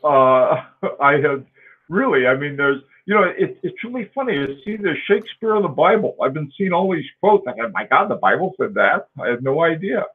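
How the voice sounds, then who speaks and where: A man in his forties hosts a loose live-stream chat, wandering through his thoughts with many fillers, restarts and quick laughs. His voice sits a bit higher than most men's and comes through a thin, phone-like line. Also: animated, slightly rough. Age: 40s. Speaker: host.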